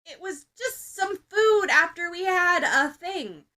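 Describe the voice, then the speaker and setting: Awkward schoolgirl for story reading woman performer, flustered and defensive, halting denials, animated pitch, bright edge. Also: exaggerated voice. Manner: stammering, uncertain, overly emphatic denials.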